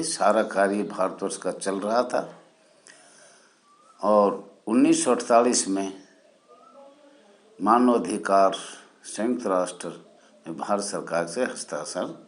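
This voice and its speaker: A man from India hosting a channel, explaining history in a deliberate, halting way with short phrases and formal honorifics. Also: on the low side. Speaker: channel host. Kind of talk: spoken history explainer for viewers.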